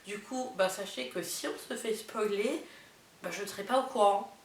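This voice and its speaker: A woman's voice: mid-range voice, normal speed. Young woman, head cold, nasal, chatty vlogger; distant mic, echoey room. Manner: chatty, lots of fillers and amused asides. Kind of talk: casual chatty vlog, reading out summaries with reactions. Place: indoors, not her bedroom. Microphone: camera mic. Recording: roomy, distant camera mic with faint hiss.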